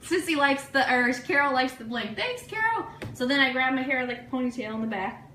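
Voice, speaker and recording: A woman with a bright, fairly high voice hosts a casual livestream show. She chats in an effusive, excitable way, gushing through shout-outs to sponsors and listeners. The sound has the echo of a live room. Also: a little fast. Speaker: online radio show host. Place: room, live social media stream. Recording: echoey room, faint noise.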